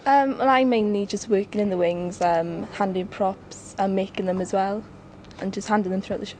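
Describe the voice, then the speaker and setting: A woman's voice: medium pitch, steady tone, normal speed, a little mellow, slight background noise, low-quality recording. A young woman chatting casually as she answers interview questions about her backstage job. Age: young adult. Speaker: assistant stage manager being interviewed. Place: backstage at a theatre.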